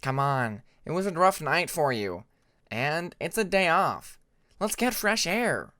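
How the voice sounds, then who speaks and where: A man's voice: on the high side, big pitch swings, measured pace, bright, forceful tone, dry recording, studio quality, voice pushed up, full character voice. Man voicing a confident young dragon prince. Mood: reassuring. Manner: firm, earnest.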